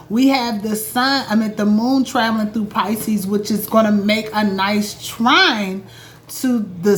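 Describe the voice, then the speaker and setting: A woman talking casually to her viewers about astrology from her home, chatty and animated, calling them y'all. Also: mid-range voice, a little hiss or hum. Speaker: astrologer and vlog host. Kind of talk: casual spiritual vlog talk.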